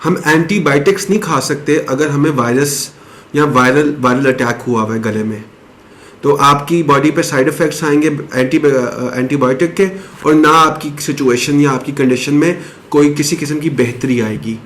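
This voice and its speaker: A man explaining a home remedy step by step in a casual, chatty way, now and then clearing his throat. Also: on the high side, moderate pace, fairly bright tone. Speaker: health advice presenter. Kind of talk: casual explanatory talk to camera.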